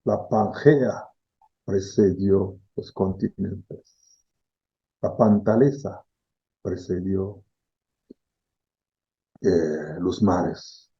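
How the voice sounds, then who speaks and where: A man's voice: deep voice, drawn-out words, pauses often, dark tone, slightly rough, highs cut off. A middle-aged man from the Democratic Republic of the Congo, a philosophy professor explaining ideas thoughtfully, often checking in with "¿sí?". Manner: thoughtful, repeats key words, frequent "¿sí?" check-ins. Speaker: philosophy professor, interview guest. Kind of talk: reflective explanation.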